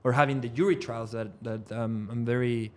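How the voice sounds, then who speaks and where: A man's voice: medium pitch, steady tone, a little fast, a little mellow. A man in his thirties from Chile, a Spanish native speaker with a Chilean accent, explaining things step by step as a guest in a podcast interview. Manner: thoughtful, explains step by step with many fillers and restarts. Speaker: trial lawyer, podcast guest. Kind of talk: casual interview chat.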